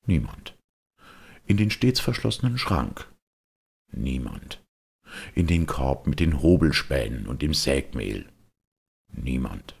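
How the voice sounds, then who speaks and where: A man narrating a children's tale as an audiobook in a theatrical, deliberate storyteller's manner. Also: very deep voice, sing-song, vocal fry, darkish tone, slightly rough.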